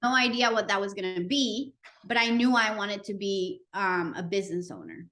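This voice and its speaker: A woman's voice: mid-range voice, lively intonation, moderate pace, a bit bright. A woman in her thirties from Costa Rica and Nicaragua, a podcast guest telling her story with frequent fillers. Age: 30s. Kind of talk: interview conversation.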